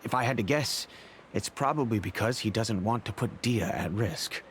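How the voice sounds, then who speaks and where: An actor playing a fatherly middle-aged merchant who speaks in a measured, reflective, remorseful way, over faint music. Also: on the low side, fairly bright tone, slight background noise, close to an everyday voice. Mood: thoughtful. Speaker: wealthy patron and father figure. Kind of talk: video game voice acting. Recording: game audio with faint music.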